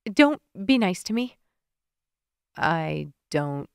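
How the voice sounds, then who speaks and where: A woman's voice: on the low side, big pitch swings, drawn-out words, gravelly, clean tone, no echo, studio quality, full character voice. A woman voicing a heartbroken, flustered young woman who blurts out her feelings in tearful outbursts. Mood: upset.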